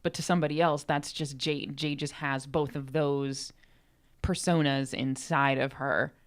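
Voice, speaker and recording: A woman's voice: deep voice, fairly even intonation, measured pace. A woman co-hosting a podcast, talking in a casual, conversational way into a microphone. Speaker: podcast co-host and co-writer.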